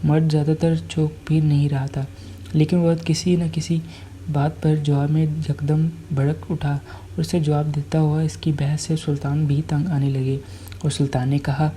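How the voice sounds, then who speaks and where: Dark, muffled, even-toned storyteller. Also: mid-range voice, moderate pace, a little hiss or hum. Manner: stumbles over and repeats words while reading. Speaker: storyteller, channel narrator. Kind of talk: scripted story narration.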